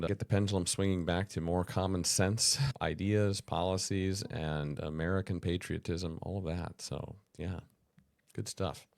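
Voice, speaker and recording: A man's voice: low-pitched, vocal fry, dry recording. A man co-hosting a podcast, chatting in an upbeat, joking way. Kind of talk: casual podcast chat.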